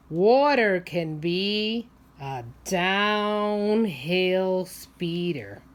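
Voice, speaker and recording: Slow-paced woman teacher reading to small children. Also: fairly low pitch. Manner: simple explaining, questioning, drawn-out emphasis on key words. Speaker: preschool teacher. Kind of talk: picture-book read-aloud for young children, asking questions.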